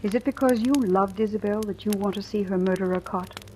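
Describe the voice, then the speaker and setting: A woman's voice: mid-range voice, a little fast, a little mellow, slight background noise, lightly acted, rough recording. An actress playing a guarded, probing woman telling her suspense story in old-time radio drama style. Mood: probing, challenging. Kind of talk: 1940s-50s radio drama acting. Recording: old radio recording, music behind.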